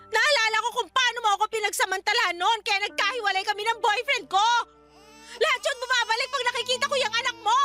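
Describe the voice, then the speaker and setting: A woman's voice: very high voice, fairly quick, sharp tone, faint music behind. An actress playing a bitter, defiant young mother in a Filipino radio drama, snappy and sarcastic, with a Filipino accent. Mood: bitter, resentful.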